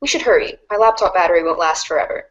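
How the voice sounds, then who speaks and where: A woman voicing a composed, analytical, wry teenage girl in a read-along webcomic, articulate and measured. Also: fairly low pitch, lo-fi sound, pitched below the performer's own voice, close to an everyday voice. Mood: analytical, brisk.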